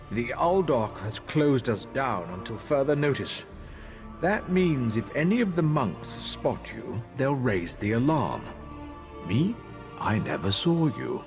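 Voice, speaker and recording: An actor playing a drowsy, grumpy monk in a video game, grumbling wearily and dismissively. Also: male voice, mid-range voice, very animated, measured pace. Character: drowsy, grumpy male monk wanting his nap. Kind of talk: video game character dialogue. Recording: long hall reverb, music behind. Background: music.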